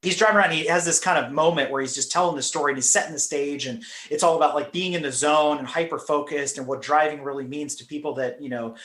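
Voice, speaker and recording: A podcast host chatting about cars in a slightly raised voice, lively and quick to laugh. His voice rings with a roomy echo. He tells stories with plenty of enthusiasm. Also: a little high. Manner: animated storyteller, laughs often. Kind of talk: casual chat.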